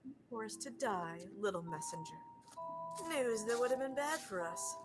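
A woman's voice: a little high, animated, moderate pace, lightly acted. A woman voicing a menacing, theatrical sea creature villain in an amateur comic dub, coldly monologuing. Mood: cold menace. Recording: loud music under the voice.

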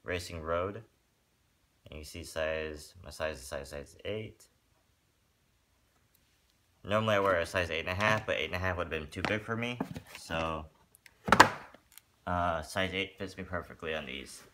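Low-voiced man reviewing sneakers. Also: measured pace, long pauses, a little hiss or hum. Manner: relaxed and rambling, with many fillers. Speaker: sneaker vlogger. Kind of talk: casual product review. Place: room with a wooden floor, indoors.

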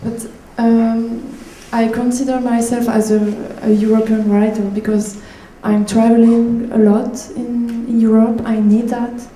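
A woman's voice: fairly high pitch, flat delivery, measured pace, hardly any pauses, dark tone. A woman from Switzerland, answering on a panel and hesitantly searching for words. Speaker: writer, panel guest. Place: public event room. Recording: echoey room, faint noise.

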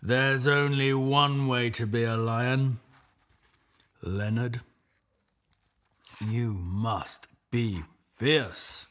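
A man's voice: mid-range voice, very slow, long pauses, fairly bright tone, pitched above the performer's own voice. A man voicing a fierce, menacing storybook lion, growling and drawing out the words emphatically. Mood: stern, menacing. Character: pack of fierce, menacing male lions.

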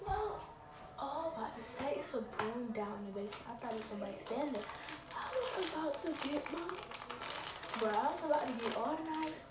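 A young woman talks her way through cooking in her home kitchen. Her voice is high and playful, and she often drifts into sing-song repeats. The phone sits back on the counter, so the room sounds echoey and there is loud background noise. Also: lively intonation, brisk, distant mic. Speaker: cooking vlogger. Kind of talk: casual cooking vlog chatter. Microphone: phone camera on the counter.

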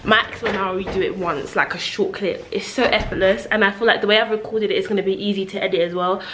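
A woman's voice: mid-range voice, fairly even intonation, quick pace, background noise. A woman in her twenties with a London accent, chatting casually and slangily to her vlog camera. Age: 20s. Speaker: vlogger.

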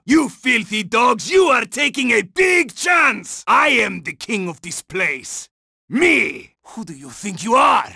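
High, shouting, arrogant gunman. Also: creaky, husky, dry recording, studio quality, full character voice. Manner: pompous, contemptuous, insulting. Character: arrogant, foul-mouthed male gunman who thinks himself king. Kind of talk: video game combat barks.

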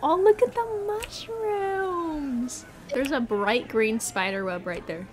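A young woman chatting excitedly with friends while playing a game, often gasping and laughing. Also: sits high, takes it slowly, a crowd in the background. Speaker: player. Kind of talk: casual gaming chat. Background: game music.

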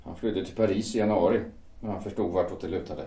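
A man's voice: deep voice, fairly even intonation, warm tone, natural voice. An actor playing a worried shipyard foreman and family man who speaks in a hesitant, defensive way. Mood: grave. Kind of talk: amateur audio drama acting. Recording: echoey room.

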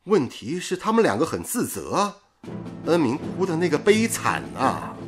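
A man's voice: fairly high pitch, wildly swooping pitch, normal speed, over music. An actor playing a teasing, carefree young village-born man in a radio drama, speaking with playful exclamations. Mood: protesting. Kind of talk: Mandarin radio drama acting.

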